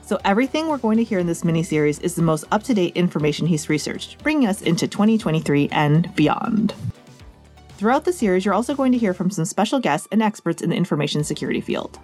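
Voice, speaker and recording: Female American podcast host, slightly low voice, very varied intonation, playful scripted narration, chatty and direct. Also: animated, quick pace, a little mellow, soft background music. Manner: chatty, direct address to listeners.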